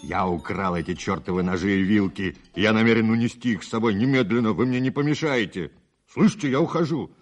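An actor playing an imperious, impatient gentleman thief in a radio play, speaking in a curt, commanding, menacing way. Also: on the low side, a little fast, faint music behind, close to an everyday voice. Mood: defiant, agitated. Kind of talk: 1980s Soviet radio play acting.

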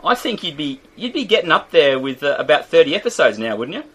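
A man's voice: a little high, sing-song, quick pace, sharp tone, slightly rough. An Australian man co-hosting a casual podcast, stumbling and repeating words as he talks. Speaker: podcast co-host.

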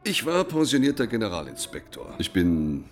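Low-voiced, sober retired inspector. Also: male voice, wildly swooping pitch. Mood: grave, determined. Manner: factual, deliberate. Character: sober retired male inspector general, back in service. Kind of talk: radio drama monologue. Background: music.

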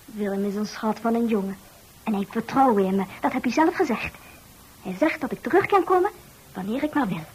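A woman's voice: fairly high pitch, expressive, a little fast, husky, poor audio. A woman acting a tough, stubborn working-class young woman in a radio drama, speaking bluntly and colloquially. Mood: fond. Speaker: radio actor.

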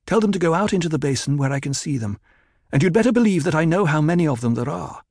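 A man's voice: fairly high pitch, expressive, fast, a little mellow, no echo, clean studio sound, pitched above the performer's own voice. A man voicing a cool commanding noblewoman. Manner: cool, clipped orders. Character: composed, commanding noblewoman, adult.

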